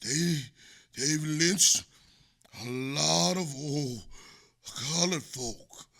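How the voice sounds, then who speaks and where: A man's voice: on the high side, drawn-out words, vocal fry, fairly bright tone, full character voice. An actor playing an aging man hunted by a lynch mob, defiant and determined, in a radio play. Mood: grim, defiant.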